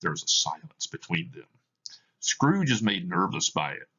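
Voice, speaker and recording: A man reading stage directions aloud as scripted narration, with measured, deliberate phrasing. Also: low-pitched, sing-song, pushed and bright, slightly rough, muffled recording. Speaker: reader of a play for students.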